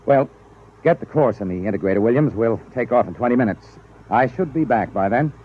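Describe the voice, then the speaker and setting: An actor playing an aging, kindly spaceship captain, sombre and restrained, in a 1950s radio drama. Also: male voice, medium pitch, expressive, fairly quick, husky. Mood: commanding. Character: aging spaceship captain, grave, kind, quietly regretful. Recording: muffled vintage radio recording with music.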